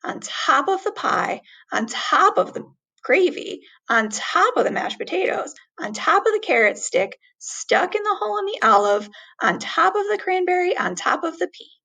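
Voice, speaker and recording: A woman reading a children's story aloud expressively, with deliberate, dramatic emphasis on words. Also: very high voice, big pitch swings, creaky, bright, forceful tone, rough recording.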